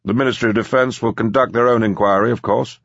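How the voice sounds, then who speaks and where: A man voicing a sober corporate security chief in an audiobook, measured, formal and matter-of-fact. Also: fairly low pitch, steady tone, creaky, no echo, lo-fi sound, pitched above the performer's own voice.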